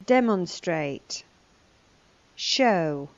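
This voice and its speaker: A woman's voice: lowish voice, lively intonation, very slow, no room sound, muffled recording. A woman giving scripted lesson narration, reading vocabulary and a news report. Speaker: language lesson presenter.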